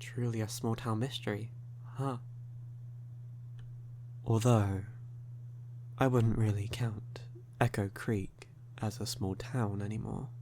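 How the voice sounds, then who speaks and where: Slow-talking, suave vampire played by a man. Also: on the low side, warm tone, slight background noise. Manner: courtly, unhurried, teasing, formal wording. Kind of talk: ASMR audio roleplay.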